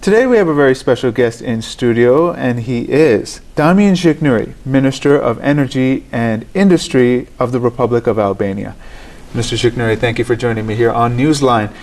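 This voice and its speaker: A man's voice: medium pitch, moderate pace, darkish tone. Male talk show host, formal. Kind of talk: studio interview.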